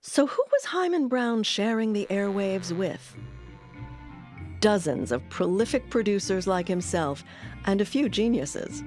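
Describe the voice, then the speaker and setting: A woman hosting and narrating a documentary about radio history, with a measured storytelling delivery. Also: mid-range voice, lively intonation, darkish tone, soft background music.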